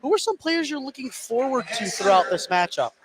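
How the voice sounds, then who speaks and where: A man's voice: extremely high pitch, sing-song, fairly quick, a loud crowd. A man doing live play-by-play basketball commentary for radio, fluently narrating the action from inside a gymnasium. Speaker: play-by-play announcer. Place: indoor high school gymnasium. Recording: noisy gym ambience behind commentary. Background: gym noise.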